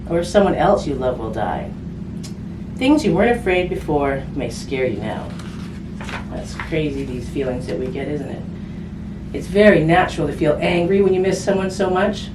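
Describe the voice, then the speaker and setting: A woman with a fairly low voice reads a children's book aloud in a conversational storytime style, pausing for casual asides to her young listeners. She sounds set back from the microphone in an echoey room, with clear noise in the recording. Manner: conversational, simple phrasing aimed at children, with tag questions. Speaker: hospice volunteer reading a picture book to children. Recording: roomy, distant mic with background noise.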